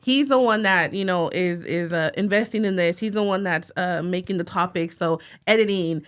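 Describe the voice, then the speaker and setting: A woman's voice: lowish voice, moderate pace, smooth. A woman co-hosting a laid-back podcast, talking in slangy, easy phrases and laughing easily. She sounds slightly stuffy from a cold coming on. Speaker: podcast co-host. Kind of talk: casual podcast chat.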